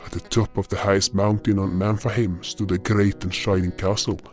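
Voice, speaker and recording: A man playing a solemn, dutiful guardian spirit, narrating his own fantasy tale in a measured first-person style. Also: on the low side, level tone, lightly acted. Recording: guitar music under the voice.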